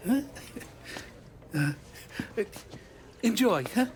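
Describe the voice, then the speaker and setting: A man's voice: high voice, animated, very slow, heavily acted. An actor playing a fawning, gushing male restaurateur with an Italian accent in a video game scene. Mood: eager. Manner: gushing, deferential. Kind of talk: theatrical video game cutscene acting. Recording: music behind the voice.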